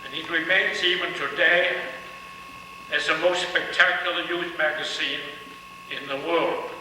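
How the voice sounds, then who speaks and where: Older man from Denmark, fairly high voice, distant mic, noisy hall echo. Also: steady tone, sharp tone. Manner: unhurried, repeats phrases while searching. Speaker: guest speaker at a ceremony. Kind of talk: ceremonial speech to an audience. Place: large hall. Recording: echoey hall from far off, noisy.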